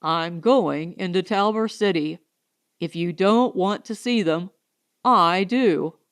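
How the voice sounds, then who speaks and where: Gruff frontier husband, voiced by a female narrator: lowered pitch, terse, sullen, slow. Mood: irritated, gruff. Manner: terse, grudging.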